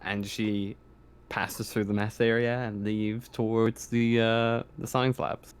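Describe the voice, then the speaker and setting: A man acting as game master in a tabletop roleplaying game, narrating haltingly as he searches for words. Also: lowish voice, level tone, clean tone.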